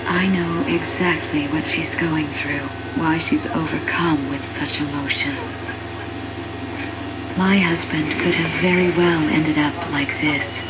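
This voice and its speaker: A woman's voice: deep voice, steady tone, slow pace, heavy background noise. A woman playing a somber, war-weary traveler who narrates her journey reflectively in a video game cutscene, grave and introspective. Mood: quiet empathy. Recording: loud music behind the voice. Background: music.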